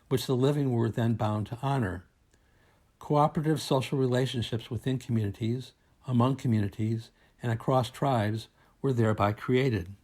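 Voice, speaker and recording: A man reading a scripted academic presentation aloud in a formal, measured and deliberate manner. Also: mid-range voice, a little mellow. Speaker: academic researcher presenting a paper.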